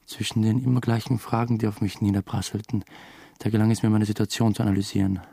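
A man's voice: fairly low pitch, level tone, fast, gravelly, mellow, slightly rough, dry recording, clean studio sound. An actor playing a brooding, weary man who narrates his own story in a radio drama, subdued and sometimes hesitant. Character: brooding, weary male first-person narrator under suspicion.

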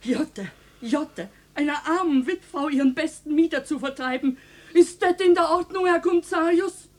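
Sharp-tongued Berlin landlady played by a woman, high voice, indignant and earthy, radio drama. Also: moderate pace, lightly acted. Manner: scolding, colloquial. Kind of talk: classic German radio play acting.

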